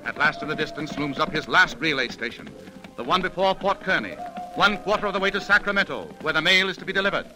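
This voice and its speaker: A man's voice: a little high, lively intonation, fast talker, low-quality recording. A man narrating a 1930s radio drama in a grave, theatrical storytelling manner. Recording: old 1930s radio recording, music behind.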